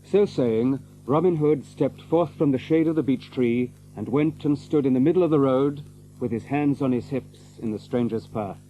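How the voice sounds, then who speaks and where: An actor serving as the measured storyteller of an old legend in an audio drama. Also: male voice, medium pitch, warm tone, a little hiss or hum, natural voice, rough recording. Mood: measured storytelling. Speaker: narrator.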